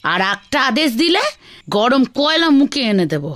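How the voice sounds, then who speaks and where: High-pitched scolding village wife, cartoon. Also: female voice, very animated, moderate pace, fairly bright tone. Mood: irritated, scolding. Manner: scolding, complaining, theatrical. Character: sharp-tongued, weary village wife, nagging and scolding. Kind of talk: Bengali children's cartoon dialogue.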